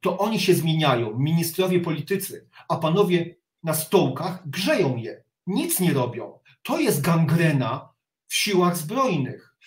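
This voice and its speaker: A middle-aged man giving blunt, halting commentary as an interview guest on a video call from a home study. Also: fairly high pitch, sing-song. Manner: halting, with repeated words and blunt criticism. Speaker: interview guest, reserve army officer. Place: home study with bookshelves, indoors. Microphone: video call, sitting back from the webcam. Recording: roomy, echoing video call.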